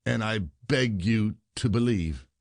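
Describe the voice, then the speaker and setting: A man's voice: lowish voice, unhurried, creaky, no room sound, studio quality. A man playing an aggrieved, stubborn common husband, indignant and grudging, in a classic comedy audio play.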